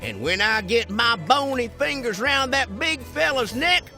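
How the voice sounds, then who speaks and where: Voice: mid-range voice, sing-song, unhurried, pushed and bright, faint music behind, heavily acted. A man voicing a vengeful, blustering skeleton outlaw in a cartoon western, full of folksy cowboy slang. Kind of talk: animated cartoon western voice acting.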